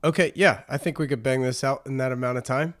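A man speaks as the host of a podcast. His voice sits at a medium pitch, and he talks as a presenter and interviewer. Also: fast, a bit bright. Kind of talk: scripted narration and interview.

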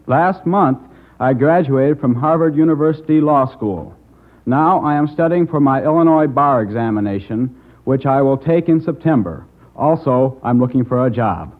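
A man in his twenties answering as a guest in a formal radio interview, polite and measured, as if reciting. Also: medium pitch, animated. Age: 20s. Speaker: former quiz contestant, guest. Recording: old radio broadcast, narrow tinny sound.

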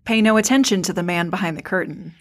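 A woman's voice: lowish voice, fast talker. A woman co-hosting a podcast in casual fan chat, playful and articulate. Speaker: podcast co-host.

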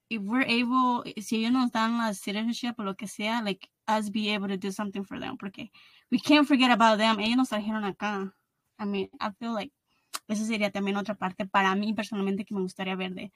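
A woman's voice: mid-range voice, fairly even intonation, fast talker, no echo. A woman in her thirties hosting a casual podcast conversation, switching between Spanish and English mid-sentence. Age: 30s. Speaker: podcast host.

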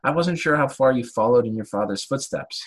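A man's voice: medium pitch, animated, fairly quick. A man hosting a casual interview, dropping dry teasing jokes and short agreeing replies. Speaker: podcast host and stand-up comedian.

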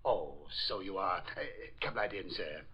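An actor playing a polite, muddled, slow-witted butler in a hesitant manner in a 1940s radio drama. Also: male voice, low-pitched, a little hiss or hum. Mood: befuddled, polite. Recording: dull old radio recording.

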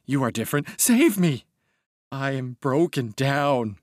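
A man's voice: medium pitch, animated, unhurried, no echo, studio quality, voice pushed up, close to an everyday voice. A man voicing a terrified, broken former madhouse inmate in an audiobook story, crying, gasping and pleading desperately. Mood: hysterical pleading. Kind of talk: dramatic audiobook character voice.

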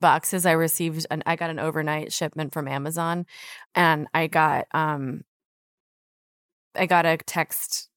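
A woman's voice: low-pitched, fairly even intonation, measured pace, fairly bright tone, clean tone, no echo, clean studio sound. A woman in casual conversation, telling anecdotes in slangy language and quoting both sides of exchanges. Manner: slangy, retells exchanges in quoted dialogue. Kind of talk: casual chat, animated anecdotes.

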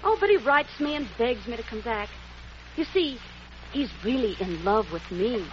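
A sassy young woman posing as a cook, as an actress plays her, teasing and quick with a comeback. Her voice is high and very lively in pitch, on a dull old radio recording with clear hiss behind it. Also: natural voice. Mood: dreamy. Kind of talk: 1940s radio acting.

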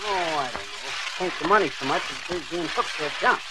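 A man's voice: big pitch swings, fairly quick. An actor playing a hapless, folksy middle-aged man who stammers sheepishly, in old-time radio comedy style. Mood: wheedling. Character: hapless bumbling married man, embarrassed, fast-excusing schemer. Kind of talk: 1930s-40s radio comedy acting. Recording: noisy old radio recording, vehicle rumble.

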